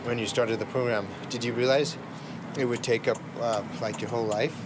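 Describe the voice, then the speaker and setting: A man's voice: medium pitch, fairly even intonation, a little fast. A man working as a radio documentary narrator and interviewer. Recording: clear vehicle and ambient noise.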